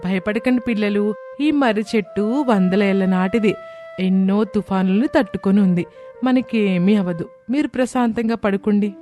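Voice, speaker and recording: A man's voice: high-pitched, normal speed, darkish tone, natural voice. A man voicing a brave young daughter-in-law and mother in a cartoon moral story, determined and reassuring. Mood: soothing. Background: background music.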